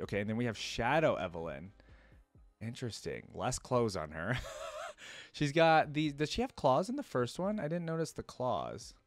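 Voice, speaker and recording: A man's voice: medium pitch, dry recording. A young adult man giving casual, off-the-cuff reaction commentary as a video host, thinking aloud in slangy speech.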